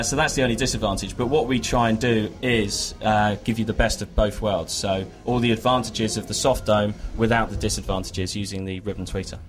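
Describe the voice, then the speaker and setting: A man with a fairly low voice presents a product as a marketing manager in an informal trade show interview. He explains things in a hesitant, lightly joking way, with faint music behind him.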